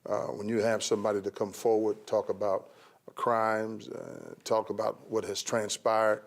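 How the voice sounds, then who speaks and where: A man's voice: on the low side, flat delivery, normal speed, vocal fry. A man in his late thirties, a pastor co-hosting a talk show, talking earnestly and repeating his points. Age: 30s. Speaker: co-host, pastor. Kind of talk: casual talk show chat.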